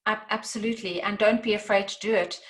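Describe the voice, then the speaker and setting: A native English-speaking woman in a relaxed podcast chat. She talks easily as a language coach, with fillers and small restarts. Her voice sits in a middle pitch. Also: quick pace, low-quality recording.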